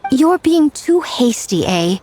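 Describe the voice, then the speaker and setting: High-voiced, lilting, shrewd shrine maiden. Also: female voice, sing-song, takes it slowly, mellow, slight background noise, exaggerated voice. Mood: cautioning, knowing. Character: poised, shrewd shrine woman, gently cautioning. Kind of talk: anime fantasy game acting.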